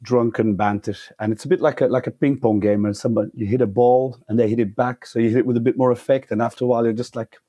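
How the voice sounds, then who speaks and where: A man's voice: mid-range voice, steady tone, quick pace, hardly any pauses, dark tone, dry recording, clean studio sound. A man from Flanders with a Flemish accent, a podcast guest telling anecdotes casually with self-deprecating humour. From Flanders, Belgium. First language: Flemish Dutch.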